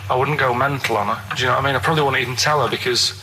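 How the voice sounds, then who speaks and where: A man's voice: mid-range voice, fairly even intonation, very fast, bright, forceful tone, slight background noise, rough recording. A man chatting casually as a radio co-host, downbeat, grumpy and matter-of-fact.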